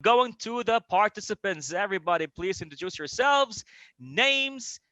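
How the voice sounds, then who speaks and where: A man hosting an online contest with energetic, cheering delivery. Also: high-pitched, normal speed, dry recording, lo-fi sound. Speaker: host. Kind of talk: hyped live-stream hosting.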